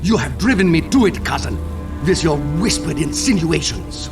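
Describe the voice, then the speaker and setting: Man acting a high-voiced fantasy clansman, formal. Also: sing-song, normal speed, gravelly. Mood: bitter, defiant. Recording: distant mic under music.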